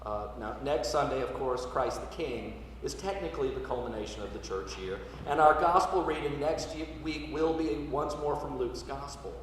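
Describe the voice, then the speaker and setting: A man delivers a church sermon from some distance, his voice ringing through a long, heavy reverb with faint noise behind it. He is a priest speaking in measured phrases, pressing hard on key words and then falling back to a quieter tone. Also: medium pitch. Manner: measured, rhetorical delivery with emphatic swells and quiet drops. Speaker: Episcopal priest preaching. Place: large church interior, live service.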